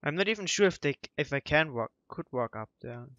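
A man's voice: a little high, animated, brisk, a bit bright, no room sound. A young man chatting casually over strategy gameplay on a headset, often hesitating and correcting himself with mild grumbling. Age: young adult. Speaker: gamer commentating co-op strategy play. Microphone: headset microphone. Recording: slightly dull, dry headset audio.

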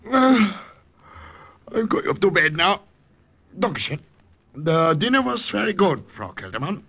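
A husky Gestapo commander with a German accent, suave and menacing. His pitch swoops about as he plays the villain in an old-time radio drama. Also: big pitch swings, measured pace, fairly bright tone, heavily acted. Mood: smug. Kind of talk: 1950s radio villain acting.

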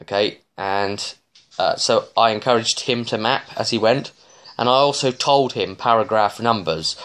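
A man in his thirties giving a casual, rambling recap as a game master, with halting, digressive phrasing and frequent restarts. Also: lowish voice, a bit bright. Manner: halting, digressive, often restarting mid-sentence. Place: small living room, indoors.

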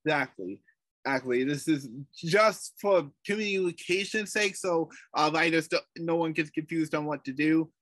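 An American man with a slightly higher voice stumbles and restarts as he chats. His recording is dry and close, with little room sound. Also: moderate pace, fairly bright tone, no room sound. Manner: hesitant, restarts a lot. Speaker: co-host, writing lead. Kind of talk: casual video call update.

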